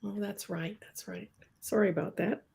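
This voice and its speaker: Casting: American woman, middle-aged, informal live-show host, chatty and quick to joke. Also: medium pitch, steady tone, gravelly, darkish tone, a little hiss or hum. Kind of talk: casual live show hosting.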